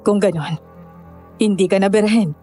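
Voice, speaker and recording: A woman's voice: medium pitch, steady tone, normal speed, warm tone, soft background music, natural voice. A woman playing a knowing, solemn villager who explains and cautions in a horror audio drama. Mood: grave.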